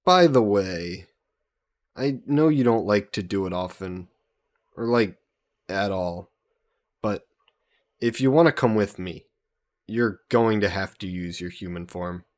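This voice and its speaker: A man voicing an easygoing, teasing young adventurer hero in an intimate fantasy roleplay audio drama, casual and gently coaxing. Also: fairly low pitch, slow pace, pauses often. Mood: persuading, casual. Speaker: voice actor.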